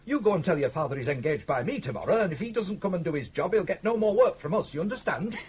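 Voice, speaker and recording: Very husky, domineering brother. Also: male voice, high voice, animated, fast talker, darkish tone, exaggerated voice. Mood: indignant, bullying. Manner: irascible, curt, contemptuous. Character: domineering, scornful, hard-hearted brother running the family business. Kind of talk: radio drama acting. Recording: dull old radio recording.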